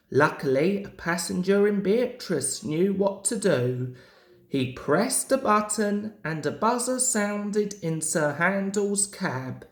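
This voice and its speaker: A young man reading a children's story aloud as a narrator. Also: high-pitched. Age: young adult. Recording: roomy, echoing home recording.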